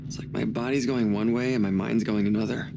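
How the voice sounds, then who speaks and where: Gravelly, wry young male astronaut. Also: fairly low pitch, steady tone, fairly quick, background noise. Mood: groggy, wry. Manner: casual, wry, teasing. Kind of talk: cinematic video game acting.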